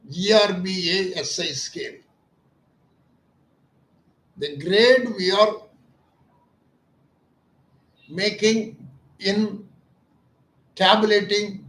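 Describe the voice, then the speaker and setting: A man's voice: sits high, extremely slow, long pauses, fairly bright tone. A man, an ENT doctor explaining his clinic's treatment in an earnest, emphatic way that often repeats its points as questions. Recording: echoey room.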